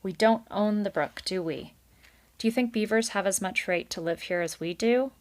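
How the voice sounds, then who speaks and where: A woman's voice: mid-range voice, normal speed, natural voice. A woman voicing a polite, anxious old male animal in a children's storybook, hesitant and plaintive. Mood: weary, fair. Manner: hesitant, plaintive, pleading, courteous.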